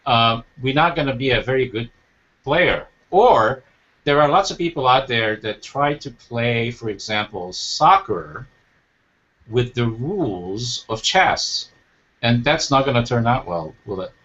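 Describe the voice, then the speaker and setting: A man's voice: mid-range voice, expressive, fairly bright tone. Man, talk show host, reflective, rhetorical questions, dull lo-fi sound. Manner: reflective, uses rhetorical questions, halting restarts. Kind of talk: interview conversation. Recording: dull lo-fi recording.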